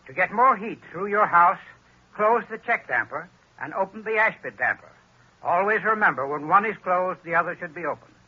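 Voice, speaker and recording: A man reading a scripted radio advertisement in a measured, instructive way. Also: high-pitched, expressive, breathy edge. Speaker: sponsor spokesman. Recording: dull lo-fi old radio recording.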